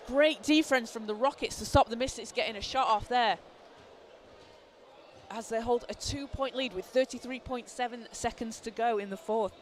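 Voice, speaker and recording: A man, hoarse from a cold, giving excited live play-by-play basketball commentary in an indoor arena. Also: very high-pitched, normal speed. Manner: excited, shouting calls on big plays. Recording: clear arena noise behind.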